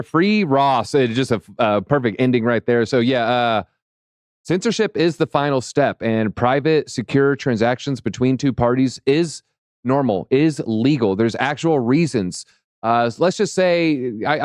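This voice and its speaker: A man hosting a casual crypto market chat, playful and rambling, slightly hoarse. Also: mid-range voice, lively intonation, normal speed, darkish tone, dry recording, clean studio sound. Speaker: host.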